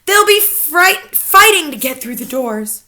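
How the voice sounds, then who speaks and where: A woman's voice: high voice, big pitch swings, measured pace, bright, forceful tone, pitched above the performer's own voice, heavily acted. A woman voicing a crowd of fawning female witches who chant their eager agreement. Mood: gloating.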